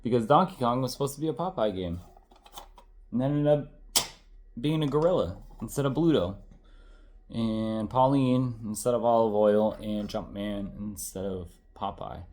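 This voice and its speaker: A man's voice: on the low side, moderate pace, a little mellow. A man in his thirties, congested and tired, chatting casually and rambling as he shows off his old game collection, correcting himself and swearing now and then.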